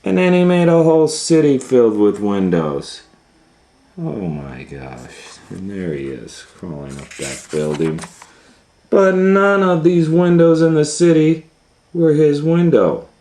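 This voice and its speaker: A man's voice: a little high, big pitch swings, unhurried, dark tone. A man reading a children's storybook aloud as a storytime host, retelling it informally with musing asides. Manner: improvised asides and musing hums.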